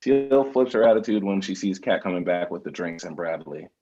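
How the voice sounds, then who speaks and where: Deep, husky male narrator, slightly muffled. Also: expressive, brisk, mellow. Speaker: stage-direction reader. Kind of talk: scripted narration for a table read.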